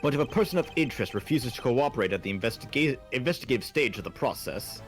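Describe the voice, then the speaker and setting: A man's voice: medium pitch, lively intonation, quick pace, soft background music, close to an everyday voice. A man voicing a stern, cutting prosecutor in a video game fan dub, speaking formally and dryly.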